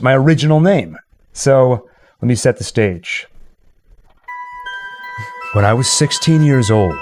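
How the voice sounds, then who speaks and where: A man with a middle-pitched voice hosts a casual livestream. He chats playfully with his viewers like a quizmaster and storyteller, while music plays faintly behind him. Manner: playful quizmaster asides to chat. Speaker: live stream host running a chat quiz.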